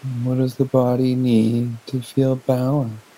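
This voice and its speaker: A man leading a guided meditation in a gentle, unhurried manner with long pauses. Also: medium pitch, little pitch movement, low-quality recording. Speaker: meditation teacher.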